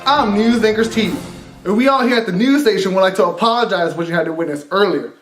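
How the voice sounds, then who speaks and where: A man's voice: high-pitched, quick pace, lightly acted. A man playing a formal, apologetic male news anchor making a mock-solemn announcement in a comedy skit. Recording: roomy echo under clear music.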